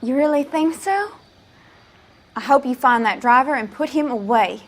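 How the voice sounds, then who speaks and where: A woman's voice: high-pitched, lively intonation, normal speed. A woman playing an eager, coy young witness in a crime drama game, polite and hesitant.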